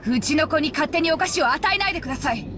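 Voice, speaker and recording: Exasperated young mother for a game, stern, brisk and sharply strained. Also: sits high, animated, background noise. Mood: curt. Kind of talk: Japanese game voice acting.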